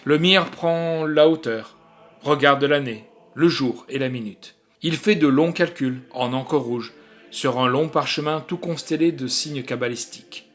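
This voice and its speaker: A man narrating a literary short story in an expressive, theatrical way with deliberate phrasing. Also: fairly high pitch, soft background music.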